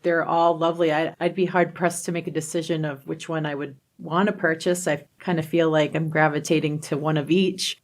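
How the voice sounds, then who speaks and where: A woman's voice: low voice, steady tone, a little fast, hardly any pauses. A woman co-hosting a podcast in casual conversation, with an upbeat, appreciative way of talking. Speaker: podcast co-host.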